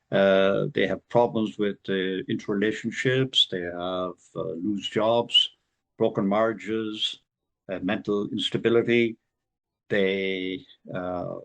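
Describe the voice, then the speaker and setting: Podcast host guy, low gravelly voice, rambly and informal. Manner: rambling, informal, filler-heavy. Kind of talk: podcast interview. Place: Ireland.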